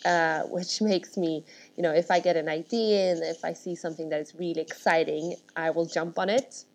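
A woman's voice: lowish voice, steady tone, normal speed, darkish tone. A woman from Sweden, a startup founder chatting as an interview guest, rambling with many restarts. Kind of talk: casual interview chat.

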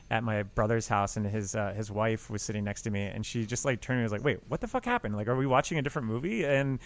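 A man co-hosting a podcast, talking casually about film in a halting, emphatic way full of filler words. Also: mid-range voice. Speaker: podcast co-host.

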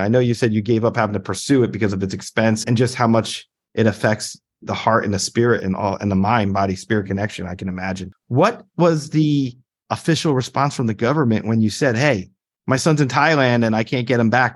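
A male podcast host talks with a guest in a casual interview. He speaks quickly and sounds earnest and sympathetic as he asks his questions. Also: lowish voice. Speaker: attorney.

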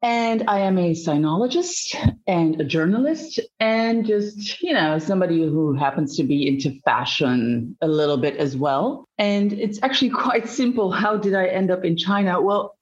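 A woman from Belgium with a Dutch accent, a journalist giving animated, emphatic answers as an interview guest over dull, lo-fi remote audio. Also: fairly low pitch. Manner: animated, emphatic storytelling with drawn-out phrases.

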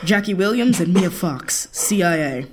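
An actress playing a tough, mocking intelligence agent with an American accent and a sardonic, commanding manner in an audio drama. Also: female voice, lowish voice, lively intonation, normal speed, noisy background, lightly acted.

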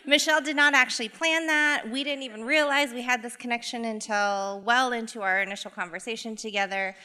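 Middle-aged woman from idaho, earnest and reflective talk, bright voice, lots of hall echo. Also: a little high, fairly even intonation, smooth. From Boise, Idaho, US. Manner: earnest, reflective, measured storytelling. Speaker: equity coach, guest speaker. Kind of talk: live talk to an audience. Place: live event hall. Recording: echoey hall.

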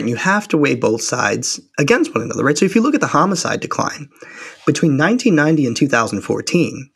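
A man's voice: mid-range voice, a bit bright, husky. A male guest on a podcast, an author, building his argument with rhetorical questions. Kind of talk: long-form political interview.

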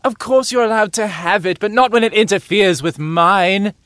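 A man playing a cocky, dry-witted Victorian gentleman detective, pompous and teasing, in a comedy audio drama. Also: high voice, normal speed, no echo.

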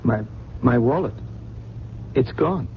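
A well-bred, bewildered man, with a medium pitch and halting, formal, earnest speech. It is vintage-style radio drama with a muffled band and faint noise. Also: lively intonation. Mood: lost, bewildered. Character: polite, bewildered well-bred man who has lost his memory. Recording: dull, noisy vintage radio broadcast.

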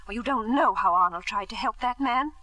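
A woman playing an anxious, gentle middle-aged wife and mother in 1950s radio drama, speaking earnestly and hesitantly. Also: on the high side, fairly quick, dry recording. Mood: defensive.

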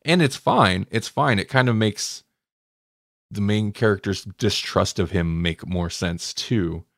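A man's voice: fairly low pitch, measured pace, creaky, fairly bright tone, no room sound. Casual male podcaster, dry close sound. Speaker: podcast co-host. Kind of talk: casual fan discussion chat.

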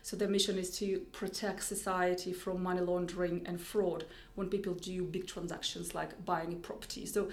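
A woman's voice: on the low side, flat delivery, moderate pace, hardly any pauses, roomy sound. A woman with a Russian accent, a tech executive guest on a podcast, speaking thoughtfully with many restarts and repeated words. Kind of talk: podcast interview.

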